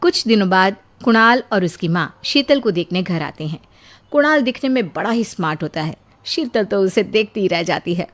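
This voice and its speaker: A woman's voice: mid-range voice, lively intonation, normal speed, voice pushed down, lightly acted. A woman playing a storyteller who narrates a family moral tale in scripted style. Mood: storytelling.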